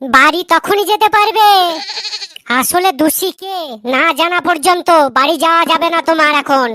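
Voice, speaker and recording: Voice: very high voice, moderate pace, sharp tone, no echo, clean studio sound. A performer voicing a cheeky, argumentative village youngster with a West Bengal accent in a comedy cartoon. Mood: mock-official. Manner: cheeky, argumentative, mock-innocent. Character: cheeky squeaky village youngsters needling their uncle.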